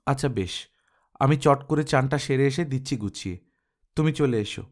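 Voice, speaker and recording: A man's voice: mid-range voice, moderate pace, lightly acted. Man reading as a concerned older sister-in-law. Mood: placating.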